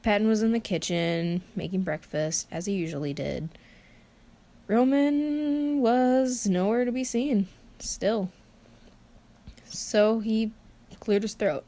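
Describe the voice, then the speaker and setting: Woman slowly telling a story, halting and conversational. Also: mid-range voice, animated, mellow. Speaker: narrator of a fan fiction podfic. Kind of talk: informal narrated storytelling.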